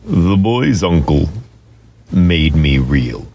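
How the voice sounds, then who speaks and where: A man voicing a wise, kindly, very old toy horse in a storybook, explaining things gently and patiently. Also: low-pitched, big pitch swings, extremely slow, a little hiss or hum, full character voice. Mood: gentle, wise.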